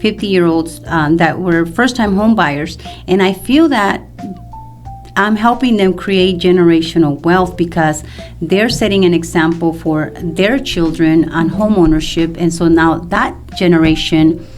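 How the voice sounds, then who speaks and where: A woman in her fifties from El Salvador speaks in a fairly low register. She talks as a podcast guest, explaining things in a loose, conversational way, with soft music underneath. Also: a little slow. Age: 50s. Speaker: mortgage loan professional, podcast guest. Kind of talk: casual interview conversation. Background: music in the background.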